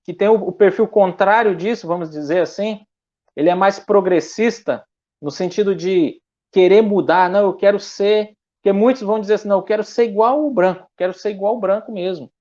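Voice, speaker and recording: Halting missionary man, muffled audio. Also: high-pitched, darkish tone. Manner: halting, many restarts and fillers. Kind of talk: conversational church interview.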